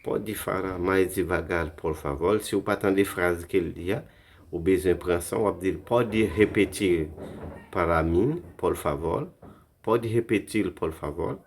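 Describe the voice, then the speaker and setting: A man teaching phrases in a language lesson, slowly repeating each one for learners. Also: low-pitched. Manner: slow, repeats phrases carefully for practice.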